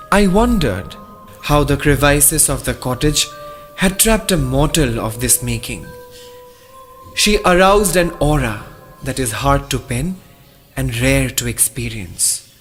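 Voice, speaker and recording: A man's voice: medium pitch, expressive, fairly bright tone, faint music behind. An actor playing a shaken married male traveler who narrates a horror radio play in a theatrical, literary manner. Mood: brooding. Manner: theatrical, literary, deliberate phrasing.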